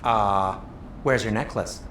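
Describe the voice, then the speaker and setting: A man's voice: on the low side, slow, fairly bright tone, a little hiss or hum. An actor playing an anxious, grumbling dwarf craftsman in a video game cutscene, with a sardonic, fussy manner. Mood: wry concern.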